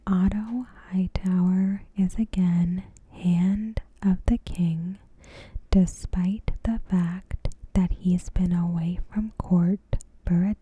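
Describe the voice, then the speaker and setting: A woman softly whispering in an ASMR style, reading out a recap with measured pauses and a breathy voice. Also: on the low side, no echo, highs cut off. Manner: soft-spoken, slow, measured, pausing mid-sentence. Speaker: ASMR video creator.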